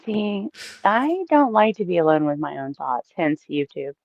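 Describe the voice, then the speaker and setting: A woman's voice: on the low side, sing-song, moderate pace, creaky, no echo, lo-fi sound. A woman guest on a livestream, chatting casually in a playful, jokey way. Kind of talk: casual chat.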